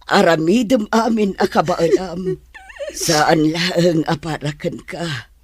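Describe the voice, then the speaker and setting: A woman playing a protective, indignant older mother in an audio drama, with drawn-out, emphatic delivery. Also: on the low side, breathy edge, dry recording. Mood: consoling, indignant. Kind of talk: Ilocano audio drama acting.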